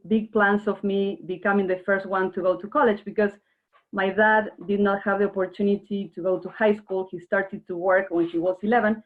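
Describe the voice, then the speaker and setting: A woman from Argentina giving an earnest workshop presentation online, speaking directly to her audience. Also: mid-range voice. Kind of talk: webinar presentation. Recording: narrow phone-like online call audio.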